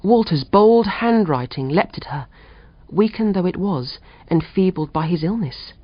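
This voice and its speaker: A woman's voice: on the low side, expressive, measured pace, no echo. A woman reading a suspense story as an audiobook narrator in a scripted narration style. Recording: dull, dry audiobook recording.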